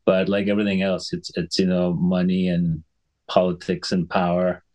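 A middle-aged man from Sri Lanka, a physician guest in a conversational interview, talking in a measured, explanatory way and often clearing his throat. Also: deep voice, little pitch movement, a little mellow.

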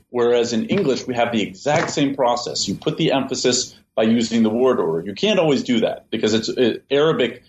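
A man answering interview questions at length, in a measured way, often repeating words as he thinks. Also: fairly low pitch. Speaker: interview guest, translator. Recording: dull lo-fi sound, faint hiss.

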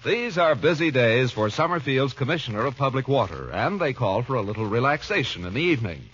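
A man's voice: mid-range voice, very animated, brisk. A man with an American accent doing scripted announcing and narration in 1940s radio style. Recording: muffled vintage radio recording. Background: music.

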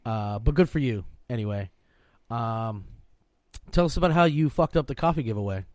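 A man's voice: medium pitch, animated, normal speed, a little mellow, no room sound. Laid-back man on a dry mic. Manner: laid-back, swears freely, rambling. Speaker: podcast co-host. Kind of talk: casual profane chat.

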